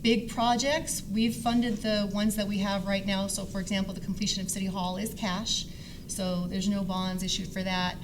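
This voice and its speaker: A woman's voice: mid-range voice, level tone, normal speed. A middle-aged woman speaking informally as a council member at a candidate forum, rambling in a conversational way. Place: public forum, live event. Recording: noisy live room sound.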